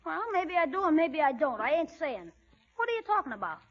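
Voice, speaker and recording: High-pitched cocky schoolboy. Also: female voice, big pitch swings, a little fast. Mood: coy, evasive. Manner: brash, evasive. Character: cocky, slangy, mischievous schoolboy. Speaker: radio comedy performer. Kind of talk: 1940s radio comedy acting. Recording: muffled 1940s radio recording.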